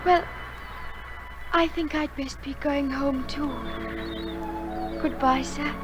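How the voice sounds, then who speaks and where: A woman's voice: high-pitched, fairly even intonation, slow, loud music behind the voice. A woman playing a timid, tender-hearted fisher girl in a radio drama, speaking politely and hesitantly. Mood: subdued, hesitant. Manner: hesitant, polite, addresses elder as sir.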